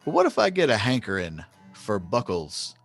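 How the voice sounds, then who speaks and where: A naive rustic customer in a comic radio sketch. His voice is on the low side and very animated, with a quiet guitar behind it. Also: moderate pace, creaky, breathy edge, full character voice. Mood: curious, impressed. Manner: rustic, puzzled questioning. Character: naive, curious country cowboy customer. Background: plucked guitar music.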